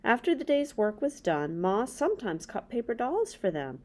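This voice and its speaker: Animated woman reading to children. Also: a little high, normal speed. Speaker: read-aloud host. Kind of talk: children's story reading with casual asides. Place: small indoor room with bookshelves.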